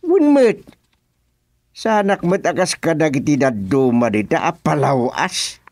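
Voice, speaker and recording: Brief: man as boastful village candidate, lively pitch, dry sound. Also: measured pace, dry recording, heavily acted. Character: ambitious village man running for office. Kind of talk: comedic radio drama acting.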